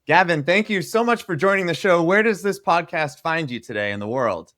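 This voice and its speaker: A man's voice: fairly high pitch, lively intonation, a little fast, a bit bright, no room sound. Eager podcast host man, dry close sound. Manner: enthusiastic, chatty interviewer. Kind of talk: interview podcast.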